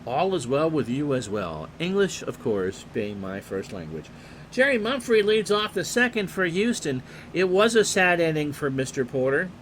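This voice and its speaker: A man calling a replayed baseball game play-by-play in a calm, unhurried way, reading player stats and chatting with viewers. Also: on the high side, a little hiss or hum, low-quality recording. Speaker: play-by-play announcer and streamer.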